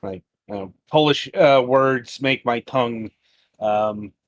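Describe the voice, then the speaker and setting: A man hosting a live-streamed interview, asking questions in a measured, polite way. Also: mid-range voice. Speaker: podcast host, interviewer.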